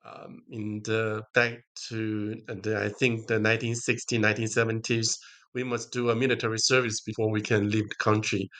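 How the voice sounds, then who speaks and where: Bright, forceful older man, Taiwanese accent. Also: fairly low pitch, flat delivery. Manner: halting non-native English phrasing. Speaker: podcast guest, technology executive. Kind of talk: interview answers, personal storytelling.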